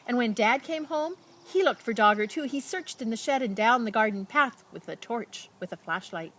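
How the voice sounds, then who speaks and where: A woman reads a children's picture book aloud expressively, with dramatic emphasis and pauses and casual asides to her listeners. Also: a little high, lively intonation, fairly bright tone. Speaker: storybook reader.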